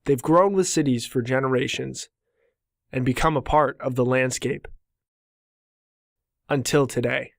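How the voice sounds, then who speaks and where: A man giving scripted documentary narration in a measured, deliberate way. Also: mid-range voice, vocal fry, no echo, clean studio sound.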